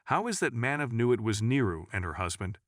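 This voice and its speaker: A man's voice: medium pitch, big pitch swings, fairly quick, dry recording, studio quality, close to an everyday voice. A man voicing a suspicious, insistent woman friend in a crime story.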